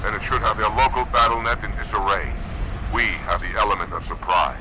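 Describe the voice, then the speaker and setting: An actor voicing a proud, stern alien warrior commander in a video game, commanding and solemn in an oratorical way. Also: male voice, on the low side, fairly quick, background noise, close to an everyday voice. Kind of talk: cinematic game acting. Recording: loud soundtrack behind the voice.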